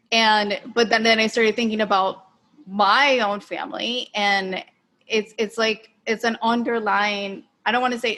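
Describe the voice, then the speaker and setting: A woman's voice: mid-range voice, fairly bright tone. A woman from Colombia hosting a casual interview, talking personally and hesitantly, often correcting herself as she goes. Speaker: interview host.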